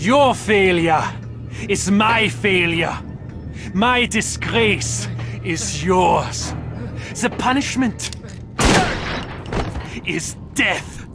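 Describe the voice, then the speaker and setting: An actor playing an unhinged, fatalistic Nazi commander in a video game, bitter and grandiose, with deliberate delivery. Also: male voice, high-pitched, exaggerated voice. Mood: cold, grim. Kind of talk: dramatic video game cutscene acting. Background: loud cinematic music.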